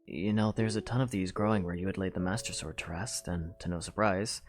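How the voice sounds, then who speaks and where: An actor playing a quiet, earnest young knight who speaks softly and sincerely. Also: male voice, low-pitched, steady tone, a little fast, lightly acted. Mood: gentle. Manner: soft-spoken, sincere, plain phrasing. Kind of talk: fan audio drama. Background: music.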